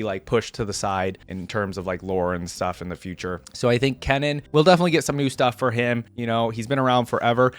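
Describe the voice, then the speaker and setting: A man with a medium-pitched voice hosts a gaming reaction video. He rambles along casually, with informal, upbeat slang. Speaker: YouTube reaction host. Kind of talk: casual game reaction commentary.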